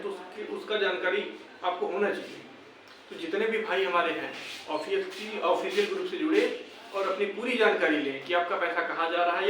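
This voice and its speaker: A man's voice: high voice, fairly bright tone. A young man with a North Indian accent from Uttar Pradesh, speaking informally to viewers in an earnest, exhorting, repetitive way. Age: young adult. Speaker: YouTuber addressing exam candidates. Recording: very echoey room, distant mic, faint noise.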